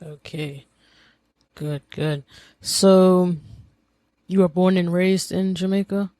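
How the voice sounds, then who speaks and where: A man's voice: high-pitched, steady tone, takes it slowly, warm tone, dry recording, clean studio sound. A man hosting a podcast, asking short questions and giving brief acknowledgments. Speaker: podcast host. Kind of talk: interview questions.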